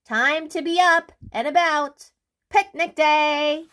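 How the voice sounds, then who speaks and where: A woman voicing a brisk, bossy, restless male storybook character who talks in eager, hurried exclamations. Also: high-pitched, steady tone, a bit bright, pitched above the performer's own voice. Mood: urgent, chivvying.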